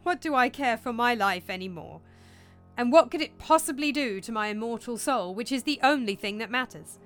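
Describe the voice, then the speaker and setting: A woman's voice: fairly high pitch, lively intonation, moderate pace, fairly bright tone, smooth, faint music behind, pitched above the performer's own voice. A woman voicing an anguished, reckless young prince in a storybook reading, with a dramatic, questioning delivery. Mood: desperate, defiant.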